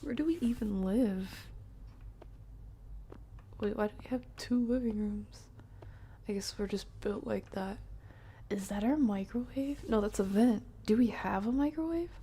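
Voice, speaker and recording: A woman streaming a game, chatting playfully with viewers and often dropping into hushed whispered asides. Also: mid-range voice, measured pace, long pauses, slight background noise. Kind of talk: casual live-stream chat while playing.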